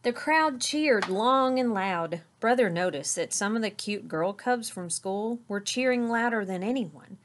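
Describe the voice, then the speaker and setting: A middle-aged woman reading a children's story aloud in an expressive narrating style. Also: mid-range voice, a little slow, few pauses, slight background noise. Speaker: storybook reader. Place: small indoor room with curtain backdrop.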